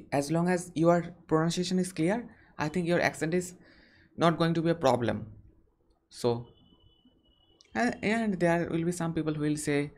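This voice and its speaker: A young man answers viewer questions on a live stream from a small room. He speaks earnestly and haltingly, often restarting his sentences, and is recorded on a webcam. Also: fairly high pitch. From India. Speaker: English-learning channel host.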